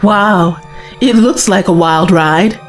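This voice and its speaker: An actress playing a spirited, cheeky young woman companion in a science fiction audio drama, expressive and teasing. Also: on the low side, slow, soft background music. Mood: impressed, teasing.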